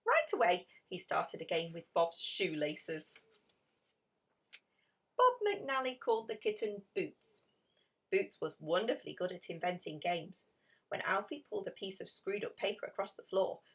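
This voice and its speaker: A woman reading a children's picture story aloud in an expressive, dramatic storytelling manner. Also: lowish voice, measured pace, breathy edge, recorded from a distance. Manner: animated, dramatic emphasis with hushed passages. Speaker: storybook reader.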